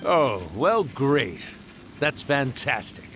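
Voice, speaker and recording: A man's voice: medium pitch, big pitch swings, slow pace, background noise. A man voicing a genial, wistful storyteller spirit in a video game, speaking politely and expressively. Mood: awkwardly pleased.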